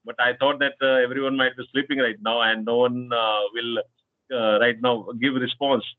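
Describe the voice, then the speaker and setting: Man hosting, halting speech full of fillers, thin phone-like dry audio. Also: mid-range voice, flat delivery, dry recording. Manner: hesitant, many fillers, tag questions like "right?". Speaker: channel host, conversation partner. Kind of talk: casual English practice chat. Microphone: online call. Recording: tinny dry phone-like call line.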